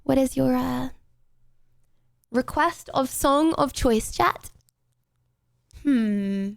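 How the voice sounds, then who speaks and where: A young British woman streaming a game, chatting casually with her chat and breaking into playful, excited exclamations. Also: high-pitched, extremely slow, gravelly, no echo, studio quality. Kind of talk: casual gameplay commentary to chat.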